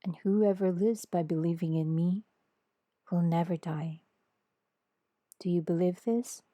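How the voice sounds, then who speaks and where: A woman reader voicing a calm, authoritative male teacher in a scripture story, solemn, gentle and assured. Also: on the low side, a little slow, no echo, clean studio sound, lightly acted.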